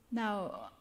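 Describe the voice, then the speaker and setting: An older woman from the Philippines with a Filipino accent, leading a prayer and preaching a church sermon in an earnest, chatty way. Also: medium pitch. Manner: earnest, chatty, laughs at herself. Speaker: guest speaker.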